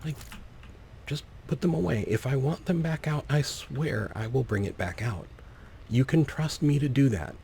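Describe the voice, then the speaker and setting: A man's voice: mid-range voice, animated, moderate pace, vocal fry, dark tone. A man giving casual, playful gameplay commentary as a let's play host, rambling with wry asides. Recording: faint background noise.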